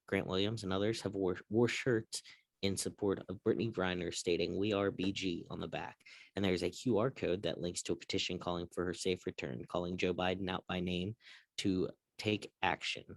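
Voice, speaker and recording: A man's voice: sits low, fairly even intonation, brisk, dry recording. A man moderating a casual sports talk show, introducing topics and chatting with his co-hosts.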